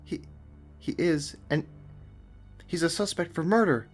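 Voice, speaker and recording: A man voicing an excitable, pushy young woman in a horror story reading. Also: a little high, a little slow, soft background music, voice pushed up. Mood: excited, urgent. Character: eager young woman on a walkie-talkie, bold, oblivious.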